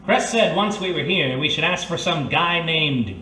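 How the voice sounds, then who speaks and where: A man's voice: on the high side, lively intonation, normal speed, sharp tone. A man playing a wry, determined hard-boiled private eye in an old-time radio detective drama. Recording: distant echoey room with faint noise.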